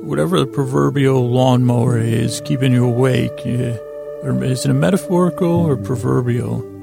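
A man hosting a sleep podcast with a meandering, whimsical monologue, halting often with stammers, repeats and long pauses. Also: medium pitch, vocal fry, over music. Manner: gently whimsical, wandering off on tangents. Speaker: podcast host. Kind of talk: rambling bedtime monologue to lull listeners to sleep.

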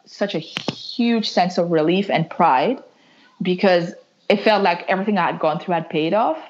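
Woman, low voice, chatty. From Canada. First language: French. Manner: chatty, many "like" fillers, restarts. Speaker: guest entrepreneur. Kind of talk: candid interview storytelling. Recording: muffled lo-fi audio.

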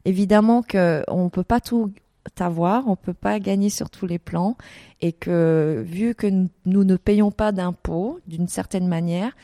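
A woman's voice: lowish voice, unhurried, warm tone, no room sound, studio quality. A middle-aged woman from Vietnam answering interview questions about her events business, hesitating often with fillers and restarts. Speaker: desert events business owner.

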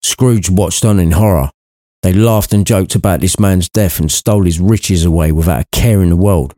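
A man's voice: deep voice, moderate pace, mellow, no echo, studio quality. A man narrating a story in an irreverent comic style, with wry, sweary asides. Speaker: narrator, podcast reader.

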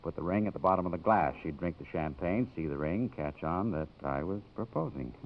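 Jilted wisecracking photographer, American accent, animated delivery, dull vintage radio recording. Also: male voice, sits low, brisk, a little hiss or hum. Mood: wry, wistful. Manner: sardonic, self-assured. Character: brash, wry magazine photographer, jilted suitor. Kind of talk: 1950s radio drama acting.

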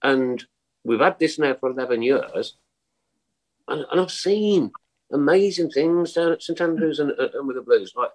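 A man's voice: on the high side, unhurried, rough recording. A man in his sixties on a video call, chatting casually about football, teasing the others and asking rhetorical questions. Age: 60s. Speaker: podcast panelist. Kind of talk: casual football fan chat.

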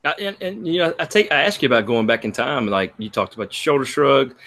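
A man hosting a podcast who asks relaxed follow-up questions in a conversational way. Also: medium pitch, quick pace, slight background noise. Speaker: podcast host. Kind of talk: conversational interview.

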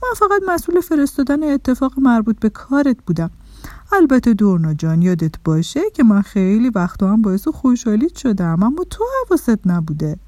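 A woman's voice: a little high, lively intonation, mellow, no room sound, lightly acted. A woman voicing a funny, tiny, self-important man in a children's story, explaining things emphatically. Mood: patient, instructive. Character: funny, tiny, busy, self-important male keeper of consequences.

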